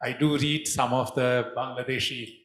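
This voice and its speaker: A man whose first language is Bengali, moderating and closing a panel discussion in a measured, courteous way. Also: mid-range voice, level tone, fairly bright tone. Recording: echoey lecture hall.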